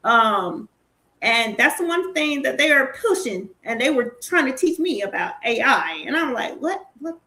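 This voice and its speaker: A woman in her forties co-hosting a podcast, chatting casually in an animated, informal, slangy way. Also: high-pitched, normal speed, poor audio. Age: 40s. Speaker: podcast co-host. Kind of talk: casual chat.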